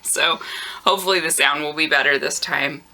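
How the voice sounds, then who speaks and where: A woman in her thirties hosting a knitting video podcast in a casual, chatty way with lots of ums. She has a very low voice that sounds tired and groggy, recorded on a camera mic in a warm room at home. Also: moderate pace, poor audio. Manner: relaxed and chatty, frequent ums. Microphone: camera microphone.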